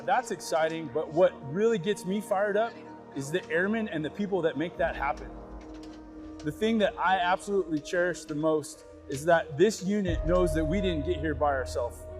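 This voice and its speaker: A man's voice: on the high side, normal speed, over music. A man in a military role talking earnestly and informally in a documentary interview. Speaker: air force communications squadron leader.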